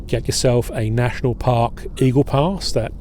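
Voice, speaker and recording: A middle-aged male historian gives a walking-tour talk outdoors by the water. His voice is dark and mellow, set at an ordinary pitch for a man. He speaks in a relaxed, halting way, filling pauses with ums and uhs, over a faint wash of outdoor noise. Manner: relaxed, hesitant, with many filler pauses. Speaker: historian and battlefield guide.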